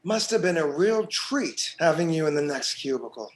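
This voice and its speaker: A man's voice: on the high side, lively intonation, normal speed, lightly acted. A man playing a hard, scornful police detective in a drama table read, accusatory and sarcastic.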